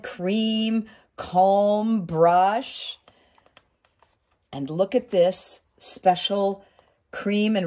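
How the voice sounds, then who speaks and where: A middle-aged woman reading a picture book aloud to children, expressively, with chatty asides and questions to her listeners. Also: medium pitch. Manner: expressive, drawn-out phrasing with questions to young listeners. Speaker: community storytime reader. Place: small wood-panelled room indoors.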